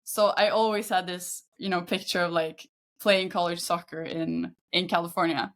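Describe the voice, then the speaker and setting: Swedish woman in her thirties, lower-pitched voice. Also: fairly even intonation, normal speed. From Sweden. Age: 30s. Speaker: podcast guest, football agent. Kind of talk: casual interview chat.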